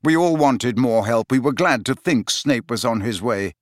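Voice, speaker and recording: A man's voice: medium pitch, lively intonation, normal speed, no echo, clean studio sound, natural voice. A man voicing a grave grown wizard in an audiobook, speaking in a firm, somewhat harsh manner.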